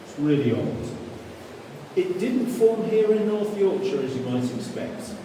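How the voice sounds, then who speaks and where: A man's voice: high-pitched, very animated, unhurried. A man guiding a group through a cave, chatting easily and asking the visitors questions as he explains. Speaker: cave tour guide. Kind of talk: guided tour talk to a group. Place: inside a limestone show cave. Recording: echoey cave, distant camera mic, noisy.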